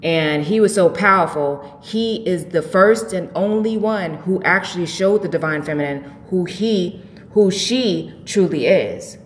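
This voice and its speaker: A woman's voice: fairly low pitch, measured pace. A woman hosting an informal spiritual talk show, giving a preachy, emphatic monologue with rhetorical questions. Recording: echoey, reverberant room with faint noise.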